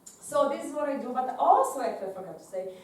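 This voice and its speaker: A woman's voice: sits high, expressive, fast talker, very echoey, far from the mic. A woman from Brazil with a Portuguese accent, a doctoral student giving frank panel remarks with frequent self-corrections. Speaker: doctoral student, church music director.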